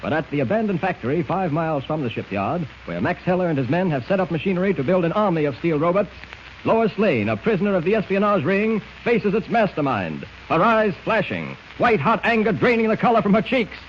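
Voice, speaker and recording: A man's voice: high-pitched, very animated, fairly quick. A man narrating a 1940s radio serial from a script in a dramatic, declamatory manner. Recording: muffled, noisy old radio recording.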